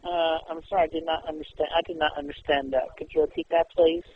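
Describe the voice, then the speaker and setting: A man talking casually on the phone in a halting, repetitive way. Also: fairly high pitch, level tone, husky. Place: telephone call. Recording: tinny narrowband phone line.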